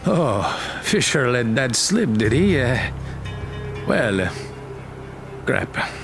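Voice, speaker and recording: A man's voice: mid-range voice, big pitch swings, slow. A man playing a genial, persistent military colonel in an audio drama, informal and a little hesitant. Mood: awkward. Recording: music under the voice.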